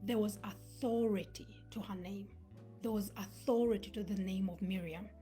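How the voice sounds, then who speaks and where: A woman in her thirties who grew up between Uganda and Scandinavia delivers a motivational live talk. Her voice sits at a middle pitch, earnest and encouraging, and she slips into a whisper to stress a point. Faint music plays underneath. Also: fairly even intonation, normal speed, warm tone. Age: 30s. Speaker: motivational speaker.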